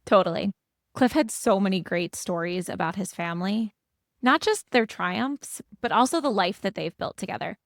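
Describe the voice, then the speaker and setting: A woman co-hosting a podcast, speaking in a measured, agreeable way. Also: medium pitch, animated, no room sound, studio quality. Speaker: podcast co-host. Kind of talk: casual podcast chat.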